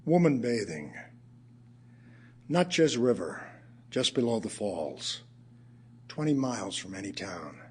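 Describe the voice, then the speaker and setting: An actor playing an ailing middle-aged writer, reciting his poems reflectively in an audio theatre piece, with a husky voice and emphatic pauses. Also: fairly low pitch, big pitch swings, exaggerated voice. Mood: tender, sensual. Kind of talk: dramatic audio theatre poetry reading.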